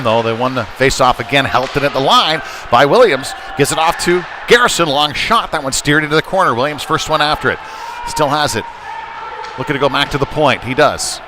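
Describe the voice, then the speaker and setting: A man doing live ice hockey play-by-play in a conversational way, thinking aloud as he goes. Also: medium pitch. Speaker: play-by-play sports commentator. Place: indoor ice rink. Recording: noisy live rink broadcast.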